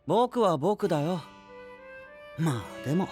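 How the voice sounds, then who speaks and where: A man's voice: fairly high pitch, sing-song, slow, darkish tone, faint music behind. A male actor playing a boyish star knight, casual, blunt and self-assured, in an anime audio drama. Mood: cocky, relaxed. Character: easygoing, boyish star knight, cocky and sweet-toothed.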